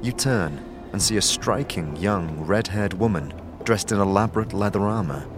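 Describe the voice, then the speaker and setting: A man narrating an audio drama in the second person, measured and descriptive, over a music bed. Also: lowish voice, lively intonation.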